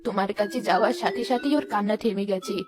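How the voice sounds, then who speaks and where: A woman plays a kindly old grandmother in a village cartoon. She talks quickly in a matter-of-fact, soothing way, well below the shrill pitch of a ghost, with music behind her. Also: natural voice. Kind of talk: cartoon character acting.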